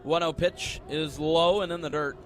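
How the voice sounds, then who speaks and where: Male sports play-by-play caller, fairly high pitch, over noisy stadium ambience. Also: fairly even intonation, moderate pace. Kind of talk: live sports commentary. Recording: noisy live stadium broadcast.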